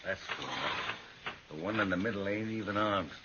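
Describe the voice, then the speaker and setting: An actor playing a gruff, suspicious stagecoach man in a western radio drama, speaking curtly. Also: on the low side, moderate pace, close to an everyday voice. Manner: curt, suspicious. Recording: muffled, noisy old radio recording.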